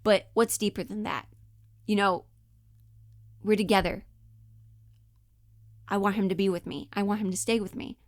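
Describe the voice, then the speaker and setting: A woman's voice: mid-range voice, fairly even intonation, moderate pace, pauses often, no echo. A woman giving a casual acting lesson, informal and thinking aloud as she demonstrates. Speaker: acting teacher. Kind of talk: casual instructional talk on acting.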